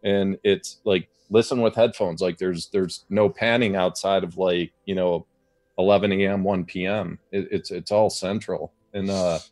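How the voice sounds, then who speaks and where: A man on a music show panel giving casual commentary on songs, haltingly thinking aloud as he goes. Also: sits low, steady tone, darkish tone.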